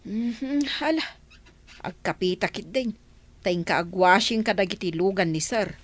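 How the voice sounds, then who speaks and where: A woman's voice: medium pitch, unhurried, close to an everyday voice. A woman with an Ilocano accent playing a firm, moralizing older woman in a radio drama. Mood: scolding.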